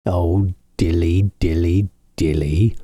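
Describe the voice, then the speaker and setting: Sad hungry storybook cat, done by a man, slow and plaintive, husky. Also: low-pitched, steady tone, warm tone, dry recording, studio quality. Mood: sulky. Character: hungry male cat, sulky and sighing.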